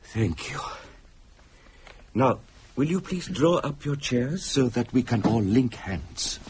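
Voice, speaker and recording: A man acting as a solemn, commanding séance medium in a radio horror drama, with a formal, hypnotic and deliberate delivery. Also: medium pitch, very animated, background noise. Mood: formal, composed.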